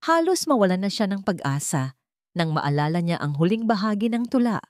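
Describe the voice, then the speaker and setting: A woman's voice: medium pitch, big pitch swings, brisk, darkish tone, dry recording, studio quality. A woman narrating a scripted story in a dramatic storytelling style.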